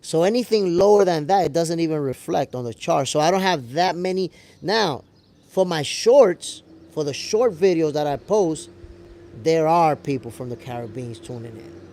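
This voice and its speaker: An American man with a gravelly voice hosting a casual livestream, talking to viewers in an animated, slangy way. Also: on the high side, moderate pace. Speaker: host of a boxing show livestream, reading viewer comments. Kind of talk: casual livestream chat with audience.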